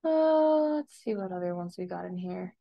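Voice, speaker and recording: A woman with a creaky voice, an art teacher casually explaining software step by step in a tutorial voice-over. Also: lowish voice, little pitch movement, fairly quick. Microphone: screen-recording voice-over. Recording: dull, lo-fi voice-over.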